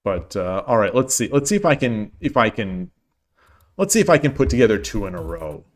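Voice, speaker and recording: A man streaming an online board game, casually thinking aloud in a low-key way, often repeating himself as he plays. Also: big pitch swings, brisk. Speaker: streamer playing online shogi. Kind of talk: casual live-stream commentary.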